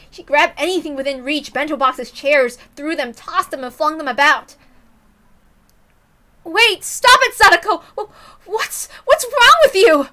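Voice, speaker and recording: A woman's voice: high-pitched, pushed and bright. A woman reading a visual novel aloud as dramatic narration.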